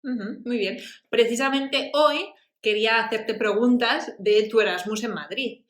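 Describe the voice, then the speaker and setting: A woman's voice: a little high, measured pace, fairly bright tone. A woman hosting a language channel, interviewing a guest with friendly, encouraging questions. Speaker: host and language teacher. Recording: echoey room.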